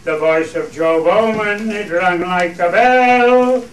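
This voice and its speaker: A man's voice: high voice, takes it slowly. A man playing a jovial Cumbrian fell farmer in a radio play, with hearty rustic dialect, in a roomy recording. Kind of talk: radio play dialect acting with hunting song. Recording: roomy, distant stage recording, faint noise.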